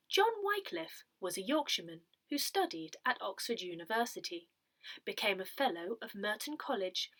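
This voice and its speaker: A woman reading a parish newsletter aloud from a script, in a measured, carefully enunciated way. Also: mid-range voice, pushed and bright. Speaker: newsletter reader.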